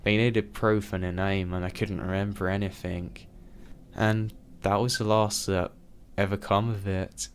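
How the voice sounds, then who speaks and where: Low-voiced man narrating scary stories. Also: little pitch movement, unhurried, a little hiss or hum. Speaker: storyteller. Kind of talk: reading true scary stories aloud.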